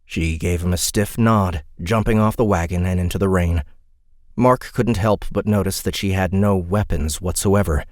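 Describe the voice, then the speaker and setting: A man's voice: low voice, dry recording, studio quality. A man narrating a fantasy audiobook in a dramatic, scripted storytelling style.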